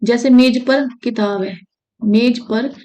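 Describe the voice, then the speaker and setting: Woman from india running a grammar exam lesson, voice a bit high, keeps repeating stuff and checking in, close mic. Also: takes it slowly, mellow, dull audio. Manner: repeats key phrases, checks in with "thik hai". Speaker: teacher.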